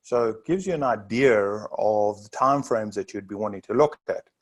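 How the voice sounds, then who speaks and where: Rambling South African man, lowish voice. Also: moderate pace, breathy edge. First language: Zulu. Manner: loose, rambling delivery with frequent asides. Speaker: safari tour operator. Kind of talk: webinar presentation.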